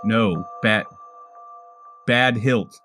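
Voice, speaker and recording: A man playing a flustered, bumbling male swordsman in a fantasy tabletop game, stammering and halting. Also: fairly low pitch, bright, forceful tone, soft background music, voice pushed up, exaggerated voice. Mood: startled, flustered.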